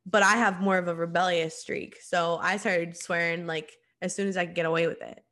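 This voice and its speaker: A woman's voice: on the low side, fairly even intonation, brisk, a bit bright. A writer in her thirties from the American Midwest, talking candidly in a casual chat. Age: 30s. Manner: candid, chatty, laughing asides.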